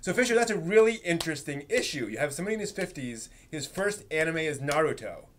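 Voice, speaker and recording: A man's voice: fairly high pitch, expressive, fast, a little hiss or hum. Man hosting a casual live stream. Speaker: host of an anime live stream.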